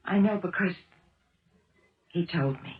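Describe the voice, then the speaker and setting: An American actress playing an earnest, persistent detective's wife in a 1970s radio drama, speaking in a deliberate, pleading way. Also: female voice, fairly low pitch, close to an everyday voice. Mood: confessing. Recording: echoey, dull old radio broadcast.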